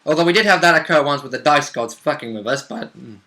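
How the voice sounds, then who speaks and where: A man's voice: on the high side, lively intonation, fast, gravelly, sharp tone. A man co-hosting as a player, chatting casually about a tabletop game with jokey, interjected quips. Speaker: player and co-host.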